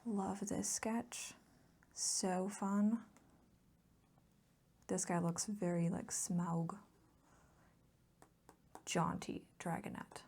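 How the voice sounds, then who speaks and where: A woman speaking softly in an ASMR chat, often slipping into a breathy whisper, rambling affectionately and gushing about what she likes. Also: steady tone, drawn-out words, pauses often. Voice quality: soft, breathy whispering mixed with low voiced murmur. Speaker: host of a hobby ASMR channel. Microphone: close mic, recorded as voice-over.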